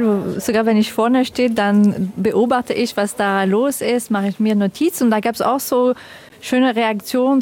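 A woman's voice: a little high, a little mellow. A woman, a writer and field researcher, talking casually about her own work.

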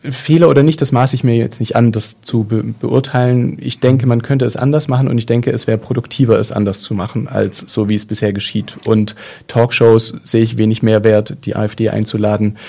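A man's voice: medium pitch, fairly even intonation, a little fast, creaky, hardly any pauses, dark tone. A man in a casual discussion, talking in a thoughtful, hesitant way with many fillers and restarts.

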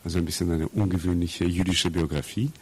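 A man's voice: deep voice, steady tone, fast, breathy edge. A man from Russia who grew up in Austria, a theatre maker giving reflective, explanatory interview answers and searching for his words. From Russia, raised in Austria.

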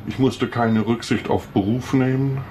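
A man in his sixties, a philosophy professor, answering interview questions calmly and matter-of-factly. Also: mid-range voice, unhurried, background noise. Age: 60s.

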